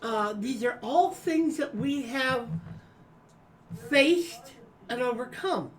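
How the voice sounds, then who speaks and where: A woman's voice: sits high, animated, takes it slowly. A woman playing a tabletop game, talking in a casual, hesitant way with many ums and uhs. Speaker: player. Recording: distant from the mic.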